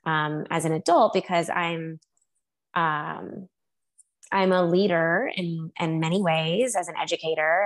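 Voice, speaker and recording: A woman from Texas talks as a podcast guest, slowly and unhurriedly. She answers thoughtfully, often pausing and restarting as she works out her ideas. Her voice sits a little low for a woman. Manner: thoughtful, with many ums and restarts. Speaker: guest, high school teacher. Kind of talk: casual conversational interview.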